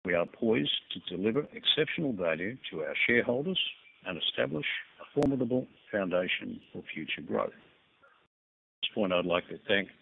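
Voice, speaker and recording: A company chief speaks formally on an earnings call. He is a man with a lowish, gravelly and husky voice. It comes through a narrow phone line. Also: expressive, normal speed. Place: conference call.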